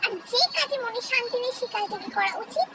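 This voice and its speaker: Squeaky, very high filtered woman's voice. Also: fast talker, heavy background noise. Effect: high-pitched voice-changer filter. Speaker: vlogger. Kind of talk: casual chat to viewers.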